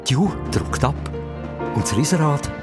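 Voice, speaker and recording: A man's voice: fairly high pitch, very animated, moderate pace, loud music behind the voice. A man with a Swiss German accent narrating a children's radio play as dramatised scripted storytelling.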